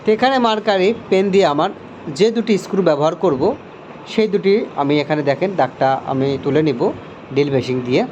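High-pitched Bangladeshi man explaining, noisy recording. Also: fast talker, a little mellow, lo-fi sound. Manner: step-by-step, repetitive, points things out to viewers. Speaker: electrician giving a tutorial. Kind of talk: hands-on how-to explanation.

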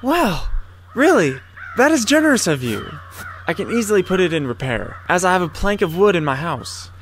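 An actor playing a meek, trusting, eager-to-please gardener in an audio storybook drama, earnest and apologetic. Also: male voice, high-pitched, sing-song, moderate pace, a little hiss or hum. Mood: eager, grateful. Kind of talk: audio theatre storybook acting.

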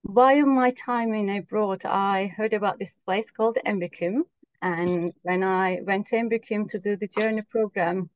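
A woman's voice: mid-range voice, no room sound. Woman from turkey, thirties, video call, earnest, dry close sound. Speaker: program co-leader. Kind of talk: webinar self-introduction. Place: wood-panelled room, indoors.

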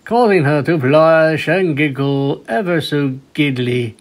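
A man's voice: fairly high pitch, unhurried. A man reading a story aloud as a scripted narration. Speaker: fanfiction reader, narrator.

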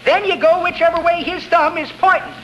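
A man's voice: very high voice, fairly even intonation, a bit bright. A man playing a cheerful, folksy old rascal with a thin voice and rustic dialect in a 1940s radio comedy. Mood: cheerfully folksy. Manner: rustic dialect, drawn-out tall-tale telling. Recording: muffled, noisy 1940s radio recording.

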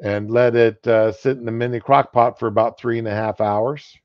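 A man's voice: medium pitch, fairly even intonation, no room sound. A man co-hosting a casual livestream chat over a video call, rambling with lots of fillers. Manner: slow, rambling, many fillers. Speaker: co-host. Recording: dull lo-fi call audio.

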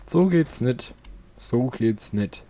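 Laid-back man with a mellow voice. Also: medium pitch, lively intonation, takes it slowly. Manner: chatty, easygoing, mutters while playing. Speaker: let's player. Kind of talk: casual gameplay commentary.

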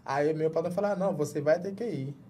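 Jamaican man speaking halting Portuguese. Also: on the high side, warm tone, slight background noise. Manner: halting, restarts words while searching in Portuguese. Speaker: guest, journalist and author. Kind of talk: casual interview talk. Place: small studio with foam-padded walls.

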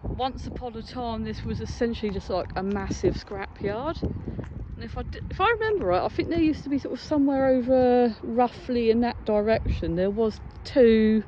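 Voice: mid-range voice, normal speed, dull audio. A British vlogger giving a casual, hesitant, rambling commentary while walking, recorded outdoors on a handheld phone. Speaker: urban exploration vlogger. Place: open derelict site outdoors. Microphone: handheld phone, no headset. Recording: noisy windy outdoor phone audio.

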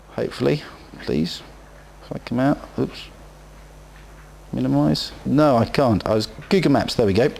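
A man's voice: on the high side, creaky. A man from England with a West Country accent, giving a rambling, chatty conference presentation in a hall. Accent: West Country English. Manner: chatty and rambling, with frequent ums and asides. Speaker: conference speaker.

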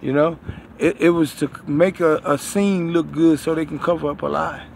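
Gravelly higher-pitched man, rambling religious vlog. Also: steady tone, darkish tone, slight background noise. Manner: repetitive, halting, circling back over phrases. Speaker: online preacher, self-styled prophet. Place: outdoors beside a building. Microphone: phone at arm's length.

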